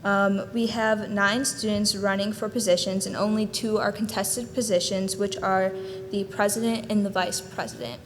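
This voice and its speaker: A young woman in her late teens, the student treasurer, giving a brisk, matter-of-fact report with some "um" fillers. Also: fairly even intonation. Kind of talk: brief formal report. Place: school board meeting room. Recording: reverberant room with faint rumble.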